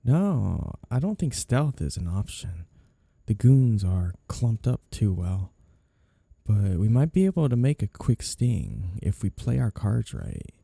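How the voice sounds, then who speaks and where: A man playing a flirty, coolly threatening mafia boss in an intimate ASMR roleplay, with a low gravelly voice. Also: very animated, takes it slowly, dark tone, no echo, heavily acted. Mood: teasing, businesslike. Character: flirty, menacing male mafia boss, smooth and sly.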